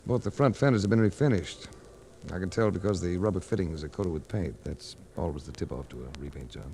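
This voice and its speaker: An actor playing a cool, unsentimental private investigator in a 1940s radio drama, with a clipped, sardonic, accusing way of talking. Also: male voice, deep voice, a little fast, mellow. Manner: clipped, sardonic, hard-boiled. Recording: noisy vintage 1940s radio recording.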